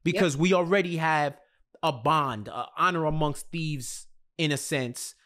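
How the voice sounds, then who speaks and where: A man's voice: on the high side, a little slow, a bit bright. An American man in his thirties co-hosting a casual TV recap, emphatic and repeating phrases as he builds his point, close on a podcast mic. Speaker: podcast co-host. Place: small home studio indoors. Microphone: large podcast mic close up.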